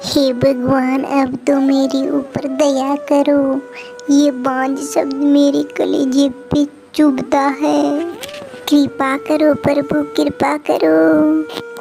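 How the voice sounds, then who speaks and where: A woman voicing a devout, sorrowful little female sparrow, earnest and pleading, in an animated moral story. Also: high voice, drawn-out words, creaky, over music, full character voice, rough recording.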